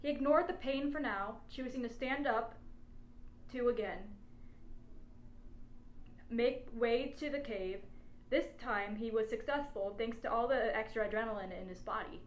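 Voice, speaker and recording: A woman's voice: a little high, a little slow, pauses often. A woman reading out a humorous adventure story as its narrator, in scripted prose. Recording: echoey space with faint background noise.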